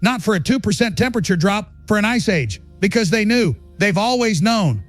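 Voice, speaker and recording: Casting: male actor as defiant conspiracy broadcaster, high-pitched, indignant and emphatic, dry studio sound with faint music. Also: expressive, brisk, no echo. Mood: accusing. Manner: emphatic, indignant, repetitive punchy phrases. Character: fiery male conspiracy radio host, defiant truth-teller. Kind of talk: ranting radio monologue in audio drama.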